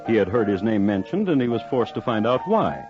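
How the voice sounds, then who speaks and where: A man's voice: lowish voice, big pitch swings, a little fast. A man narrating an old-time radio crime drama as a wry, formal storyteller. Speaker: narrator and host. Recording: dull lo-fi old radio recording. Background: music.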